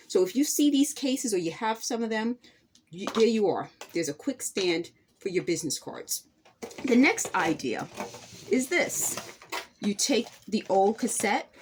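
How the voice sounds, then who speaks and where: A woman's voice: mid-range voice, slight background noise. A woman hosting a craft tutorial, chatting casually to viewers and rambling a little as she explains each step by hand. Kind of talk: casual hands-on demonstration, talking to viewers.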